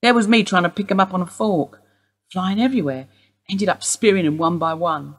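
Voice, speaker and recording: An actress playing a sharp-tongued, bitter woman in her sixties, wry and sardonic in a radio play. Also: on the low side, fairly quick.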